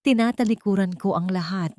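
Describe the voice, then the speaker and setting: A woman's voice: medium pitch, fairly quick, a little mellow, dry recording, close to an everyday voice. Woman reading remorseful billionaire man, earnest pleading, storybook dialogue, dry close recording. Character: remorseful billionaire man renouncing his wealth. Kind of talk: storybook dialogue read by narrator.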